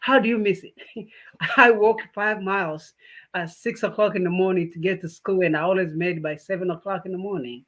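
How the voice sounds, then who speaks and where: A man from Tanzania, a guest on a podcast, talking in a warm, grateful way with many fillers and restarts. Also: high-pitched, fairly even intonation, fairly quick. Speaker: guest, healthcare business owner. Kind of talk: casual interview.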